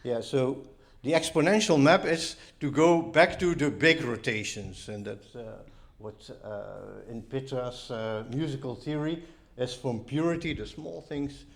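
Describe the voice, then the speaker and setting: Male lecturer, medium pitch, informal explanatory delivery as one half of a presenting pair. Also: unhurried, vocal fry, few pauses.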